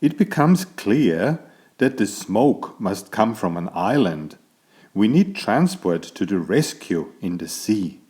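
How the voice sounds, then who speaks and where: A man narrating a children's bedtime story in an expressive, deliberate way. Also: on the low side.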